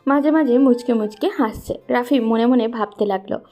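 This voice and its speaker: A woman with a fairly high, soft-toned voice narrates a scripted story. Light music plays behind her narration. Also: moderate pace.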